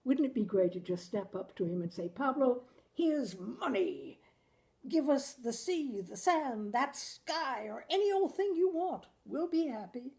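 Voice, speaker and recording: A woman's voice: high voice, very animated, unhurried, gravelly, a little mellow, voice pushed up, heavily acted. A woman reading the part of an earnest, wistful, art-obsessed American husband in a story, patient and musing. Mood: wistful, eager. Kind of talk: acted dialogue in story reading.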